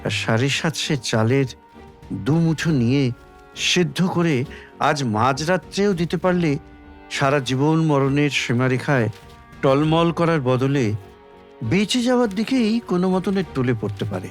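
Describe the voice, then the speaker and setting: Brief: male story reader, dramatic and measured with lively intonation, faint music underneath. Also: on the high side. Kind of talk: dramatic literary audiobook narration.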